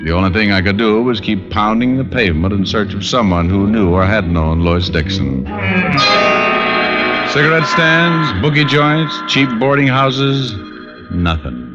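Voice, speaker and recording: An American actor playing a dry, wisecracking hard-boiled private detective in old-time radio style. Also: male voice, fairly low pitch, sing-song, unhurried. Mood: brooding, wry. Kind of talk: 1940s-50s radio detective acting, first-person narration. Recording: dull old radio recording with music.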